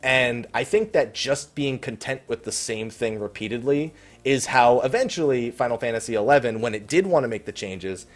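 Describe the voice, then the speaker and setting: A man's voice: mid-range voice, expressive, normal speed. A man hosting a gaming Q&A, talking casually and off the cuff in a rambling, conversational way. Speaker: host of a gaming Q&A show. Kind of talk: casual unscripted Q&A commentary, reading out viewer questions. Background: faint background music.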